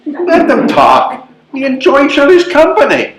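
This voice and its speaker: A man playing an amiable, evasive older gentleman in a readers theatre comedy, with expressive, drawn-out delivery. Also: very high voice, heavily acted. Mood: startled, defensive. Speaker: amateur readers theatre performer. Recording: roomy, echoing live stage recording.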